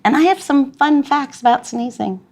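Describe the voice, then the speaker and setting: A woman's voice: sits high, expressive, moderate pace, a little mellow. A woman in her forties hosting a podcast, joking and giggling through casual chat. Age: 40s. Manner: giggly, jokey, playful. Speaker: podcast host.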